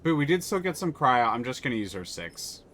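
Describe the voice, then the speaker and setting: A man's voice: medium pitch, very animated, fairly quick, fairly bright tone, slight background noise. A man streaming a story game live, reading narration aloud and thinking aloud between moves, with frequent restarts.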